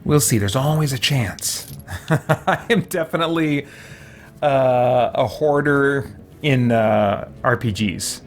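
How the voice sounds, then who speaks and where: A man giving casual let's play commentary, thinking out loud to himself as he makes decisions. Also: mid-range voice, takes it slowly. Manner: musing, talks to himself while deciding. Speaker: video game streamer.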